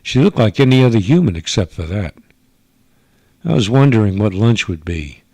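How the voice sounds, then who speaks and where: A man's voice: fairly low pitch, expressive, moderate pace, darkish tone, dry recording. A man narrating a first-person radio drama in old-time style, as a government investigator giving his report.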